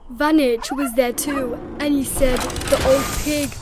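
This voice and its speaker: A woman narrating a rhyming picture book as an expressive, dramatic read-aloud. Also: high-pitched, measured pace, noisy background. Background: music.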